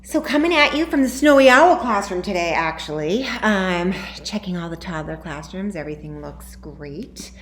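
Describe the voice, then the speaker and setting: Expressive woman in an echoey room. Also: on the low side, moderate pace, few pauses. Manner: expressive, addressing young children. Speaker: preschool teacher reading story time. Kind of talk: picture-book read-aloud with casual chat to children. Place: classroom. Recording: echoey room with faint noise.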